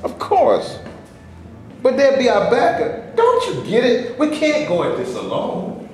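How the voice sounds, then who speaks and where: A man's voice: extremely high pitch, wildly swooping pitch, a little slow, soft background music, exaggerated voice. An actor in a staged reading, playing an impatient, fed-up man who speaks in an impassioned, indignant way. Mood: heated. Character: fed-up, impatient man demanding his share. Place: theater before a live audience. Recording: echoey room, faint background noise.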